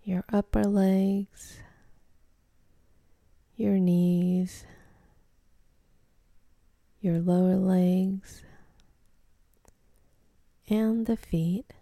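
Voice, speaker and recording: Slow, very mellow woman guiding meditation. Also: mid-range voice, steady tone, dry recording, studio quality. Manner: soothing, gentle, unhurried, with long pauses. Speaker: meditation guide. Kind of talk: guided meditation, soft spoken instruction.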